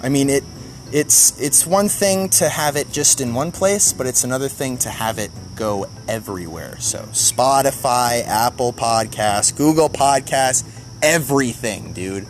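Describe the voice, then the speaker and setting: A man's voice: medium pitch, lively intonation, a little slow, a little hiss or hum. A man with a mid-range voice co-hosts a comedy podcast. He talks in a loose, conversational way, tossing out jokes as he goes. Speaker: podcast co-host. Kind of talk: casual comedic chat.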